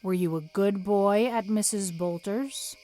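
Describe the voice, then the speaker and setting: A woman voicing a stern, reserved woman of sixty who speaks in a brisk, dry, severe way. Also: fairly low pitch, a little mellow, close to an everyday voice.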